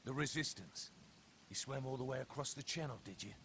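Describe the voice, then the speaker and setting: An actor playing a bitter, defiant British soldier in a video game, gruff and sardonic with a gravelly voice. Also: male voice, medium pitch, brisk, slight background noise. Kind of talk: video game cutscene acting. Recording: loud music behind.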